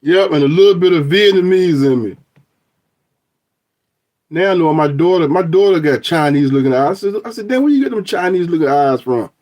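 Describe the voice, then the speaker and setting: A man's voice: on the high side, lively intonation, brisk, darkish tone. A middle-aged man talking through his DNA results on a phone, rambling and joking with frequent restarts. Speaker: vlogger. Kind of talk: casual review of DNA results.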